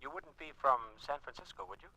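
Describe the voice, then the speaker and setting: An actor playing a smooth-talking, flirtatious man-about-town in a 1950s radio suspense drama, suave and breezy. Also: a little high, animated, fast, pushed and bright, full character voice. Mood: polite, puzzled. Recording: dull 1950s radio recording.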